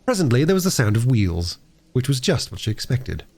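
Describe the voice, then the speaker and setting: A man reads a children's storybook aloud. His mid-pitched voice swings widely and expressively as he narrates. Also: sing-song, a little fast, a little mellow. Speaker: audiobook reader.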